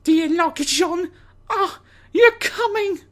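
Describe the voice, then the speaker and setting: Woman doing an unhinged excitable teen anime girl, super high pitched, melodramatic. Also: takes it slowly. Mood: frantic. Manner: theatrical, melodramatic. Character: unhinged, excitable teenage girl fighters from anime fan fiction.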